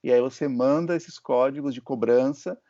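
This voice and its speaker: A Brazilian man hosts the talk in an easy, conversational way and often checks that the other person is following. His voice sits at a middle pitch. The audio is dull and lo-fi. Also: normal speed, warm tone. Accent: Brazilian Portuguese. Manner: conversational storytelling, checks in with "né?" and "certo?". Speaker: host and physician. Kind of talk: casual interview chat. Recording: muffled lo-fi audio.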